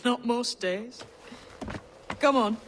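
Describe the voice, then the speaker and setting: A woman's voice: on the high side, slow pace, fairly bright tone, a little hiss or hum. An actress playing a brash, tough young pirate disguised as a man in a video game, blunt and defiant. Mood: brisk, businesslike. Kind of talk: video game cutscene acting.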